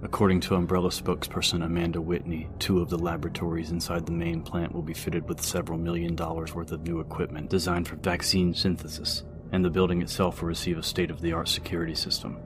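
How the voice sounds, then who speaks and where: A man narrating an audio story, reading out newspaper reports in a measured, scripted news style. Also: low voice, completely flat delivery. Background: music.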